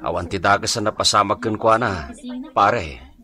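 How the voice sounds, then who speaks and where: A man's voice: on the low side, moderate pace, bright, forceful tone. A man playing an exasperated, worried husband in a radio comedy drama, complaining plaintively with an Ilocano accent. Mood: troubled.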